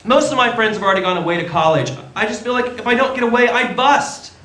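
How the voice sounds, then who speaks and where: An actor playing an eager, restless young small-town dreamer, earnest and exclamatory, in a stage radio play. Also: male voice, very high voice, animated, quick pace. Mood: restless, frustrated. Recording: echoey theatre stage, faint noise.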